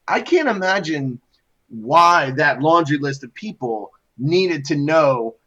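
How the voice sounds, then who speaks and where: A man co-hosting a casual political talk show, animated, repeating words and saying "you know" a lot. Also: on the high side, slow pace, muffled recording. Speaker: podcast co-host.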